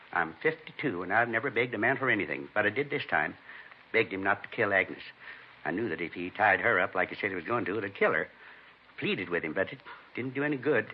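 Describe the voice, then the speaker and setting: An actor playing a shaken, indignant man of fifty-two in a vintage radio drama, with an American accent and halting, earnest, plain speech. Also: fairly low pitch, natural voice. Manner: halting, earnest, plain-spoken, repeats himself. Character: shaken, indignant fifty-two-year-old husband, a robbery victim. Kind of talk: 1950s radio acting. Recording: muffled, noisy old radio recording.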